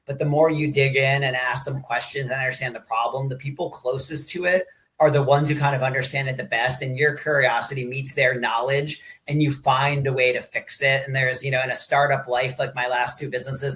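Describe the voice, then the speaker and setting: A man's voice: mid-range voice, a bit bright. A man speaking as a guest on a business panel, thoughtful, with small stumbles as he answers. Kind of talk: casual panel discussion.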